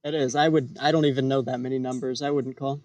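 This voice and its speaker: A man co-hosting a podcast in casual comedic chat, speaking in a hesitant, deadpan way. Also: medium pitch, fast, no room sound. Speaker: podcast co-host.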